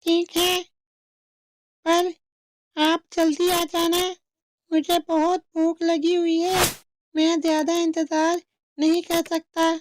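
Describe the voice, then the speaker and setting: A woman's voice: extremely high pitch, flat delivery, takes it slowly, pauses often, no echo. A woman voicing a small, earnest, obedient young male bird chick in a cartoon story. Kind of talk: slow cartoon story dialogue.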